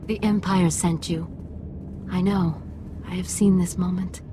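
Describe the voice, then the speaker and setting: A woman's voice: fairly low pitch, fairly even intonation, unhurried, background noise, natural voice. A woman playing a solemn alien mystic in a game, speaking in terse, cryptic phrases. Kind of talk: video game cutscene acting.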